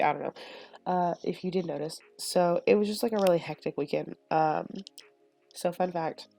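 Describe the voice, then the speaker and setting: A young woman with a sniffly, stuffed nose, chatting casually and rambling with lots of fillers while she shows a build. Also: sits low. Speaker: Sims build video creator. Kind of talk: casual chat over a speed build. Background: soft lo-fi music.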